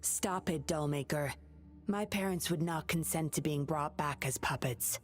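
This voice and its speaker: Low-voiced, scheming young woman. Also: measured pace. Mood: guarded, firm. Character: mysterious, scheming young woman, cool undercover agent. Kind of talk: video game voice acting.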